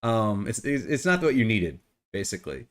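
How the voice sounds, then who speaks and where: A man's voice: on the low side, very animated, normal speed. Phlegmy middle-aged man with a cold. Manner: repeats words, restarts often. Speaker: podcast co-host. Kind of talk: casual gaming chat.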